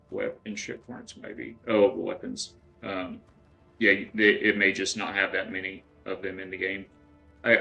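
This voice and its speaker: A man's voice: on the low side, vocal fry, a bit bright. A middle-aged man from West Texas chatting casually while he plays a game, rambling and thinking aloud with lots of fillers and restarts. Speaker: game streamer. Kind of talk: casual gameplay chat with chat readers.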